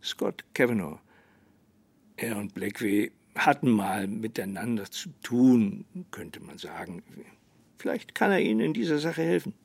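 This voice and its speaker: An actor playing a calm, resigned small-town sheriff in a radio drama, speaking in a dry, measured manner. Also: male voice, lowish voice, gravelly, husky, no room sound, full character voice. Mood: laconic, guarded. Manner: dry, measured, hesitant hums.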